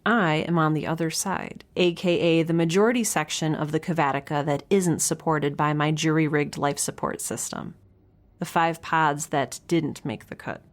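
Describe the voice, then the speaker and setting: Woman acting as a nerdy young researcher stuck on a spaceship, lowish voice, chatty n wry, kinda nervous, audio drama. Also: moderate pace, natural voice. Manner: wry, rambling, self-deprecating. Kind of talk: audio drama, spoken log in a casual chatty manner.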